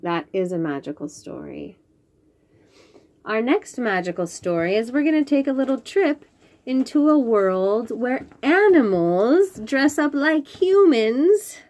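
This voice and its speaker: A woman reads a picture book to small children with a very melodic, animated delivery. She takes her time, lingering over the words. Her manner is gentle and full of wonder, with little asides that invite the listeners in. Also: fairly high pitch, measured pace. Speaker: children's storyteller and host. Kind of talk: expressive picture-book read-aloud with asides to young listeners.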